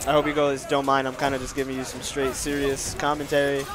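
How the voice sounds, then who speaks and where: A man doing casual stream commentary for a fighting game event, reading viewer questions and answering them. His voice is nasal, and he tries to keep it lower than usual. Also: little pitch movement, fast talker, music in the background.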